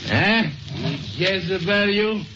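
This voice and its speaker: An actor playing a hot-tempered, boastful circus owner and animal tamer in a blustering 1940s radio style. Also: male voice, sits high, steady tone, slow pace. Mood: boastful, agitated. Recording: noisy, muffled old radio broadcast.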